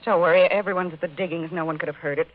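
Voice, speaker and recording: An actress playing a cold-blooded, scheming femme fatale in an old radio drama, urgent and insistent. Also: female voice, on the low side, steady tone, very fast, close to an everyday voice. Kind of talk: 1940s-50s radio drama acting. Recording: dull old radio recording.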